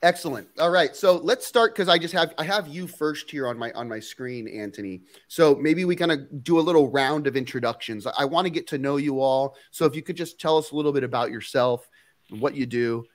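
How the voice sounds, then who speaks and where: A man's voice: fairly high pitch, a little fast. Upbeat man hosting with a stuffy nose. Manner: upbeat, energetic, gestures while talking. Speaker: host. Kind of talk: live stream hosting. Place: home workshop studio. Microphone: headphones and studio mic on boom.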